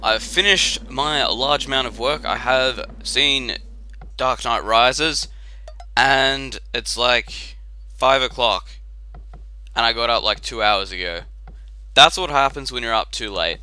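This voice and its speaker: A young man giving a chatty, rambling commentary on a game replay, his voice croaky and tired after a late night. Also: medium pitch, slow pace, pushed and bright. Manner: chatty and rambling, with filler words.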